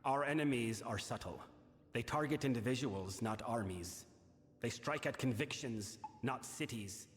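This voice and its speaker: A male actor voicing a grave, burdened fae king in a fantasy game, speaking formally and in a measured, solemn manner. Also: mid-range voice, breathy edge. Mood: stern, formal. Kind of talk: scripted fantasy game dialogue. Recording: long reverberant hall, music behind. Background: music.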